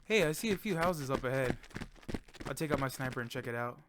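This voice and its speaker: A man's voice: medium pitch, lively intonation, fairly quick, background noise, close to an everyday voice. A man playing an eager, inquisitive young friend in an amateur audio drama. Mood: curious, casual.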